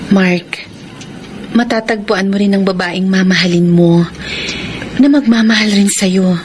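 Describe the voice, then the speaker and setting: A woman acting a kind, hesitant young woman in a Filipino radio drama, speaking gently with a Filipino accent. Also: medium pitch, fairly even intonation, a little slow, a little hiss or hum, natural voice. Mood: gentle, friendly.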